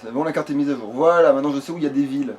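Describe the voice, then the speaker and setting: A man's voice: mid-range voice, racing, a little mellow, a little hiss or hum. A man giving casual, rambling gameplay commentary as a let's play host, swearing freely and talking crudely.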